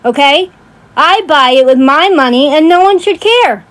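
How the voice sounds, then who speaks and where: A woman's voice: sits high, animated, normal speed, a bit bright. A woman ranting casually on a livestream in a blunt, sweary way, often checking in with emphatic tag questions. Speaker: livestream host.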